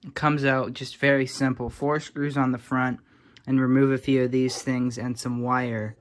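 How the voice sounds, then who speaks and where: A man's voice: mid-range voice, flat delivery, normal speed. A man giving casual, unscripted show-and-tell commentary in a dry, wry manner, like a hobbyist vlogger. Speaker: vlogger showing old radio repairs.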